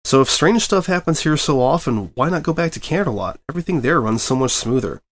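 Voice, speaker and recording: A man's voice: fairly high pitch, expressive, a little fast, pitched above the performer's own voice. A man voicing a flustered young male pony newspaper reporter who rambles nervously.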